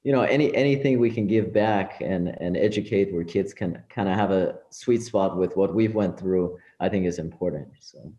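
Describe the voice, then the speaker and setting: A man's voice: low voice, normal speed, few pauses, dark tone, muffled recording. A middle-aged man who also speaks Farsi natively, talking earnestly and casually as a podcast interview guest. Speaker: interview guest, business professional and community organizer. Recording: roomy echo.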